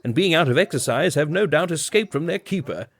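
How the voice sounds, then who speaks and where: A man voicing an earnest, emphatic gentleman officer in a dramatic story reading. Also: fairly high pitch, lively intonation, brisk, creaky, voice pushed up. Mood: confident.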